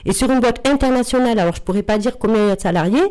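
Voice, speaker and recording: A woman's voice: on the high side, rapid-fire, darkish tone. A woman with a strong Marseille accent telling a story bluntly in a casual radio debate. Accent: Marseille French.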